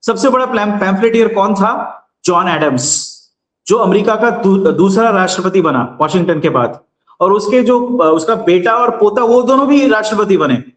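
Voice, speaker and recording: A man, a guest commentator, explaining politics and history in a measured, lecturing way with pauses. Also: high-pitched, lively intonation, reverberant, low-quality recording.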